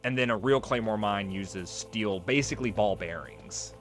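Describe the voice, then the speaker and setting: A man's voice: lowish voice, normal speed, a bit bright. A man giving casual, rambling let's play commentary, explaining things and drifting off on tangents. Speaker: let's player, video game commentator. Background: video game music.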